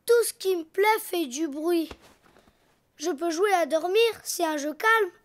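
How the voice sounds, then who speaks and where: A woman's voice: very high voice, expressive, unhurried. A woman voicing a playful, imaginative little boy donkey in a cartoon, with a chirpy, childlike, sing-song way of talking over background music. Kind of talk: cartoon voice acting. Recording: music bed under dialogue.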